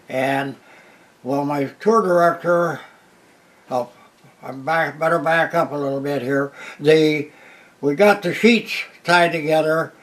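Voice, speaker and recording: A man, a war veteran telling his story plainly with restarts and a loud voice. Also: a little high. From Minnesota, USA. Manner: plain unhurried retelling with restarts. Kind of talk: oral history storytelling.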